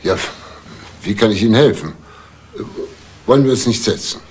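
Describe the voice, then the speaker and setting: An actor playing an indignant homeowner in a crime drama, speaking formally and haughtily with a slightly husky voice. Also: male voice, on the low side, lively intonation, moderate pace, a little mellow, a little hiss or hum, lightly acted. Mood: polite, guarded. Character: indignant, well-off married male homeowner under questioning. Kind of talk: German TV crime drama acting.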